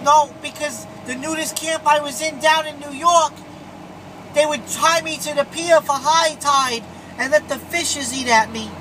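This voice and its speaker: A man's voice: extremely high pitch, unhurried, pushed and bright, pitched above the performer's own voice, full character voice. A man voicing a whiny, eccentric, plaintive complainer for a silly CB radio prank. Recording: noisy CB radio in a vehicle.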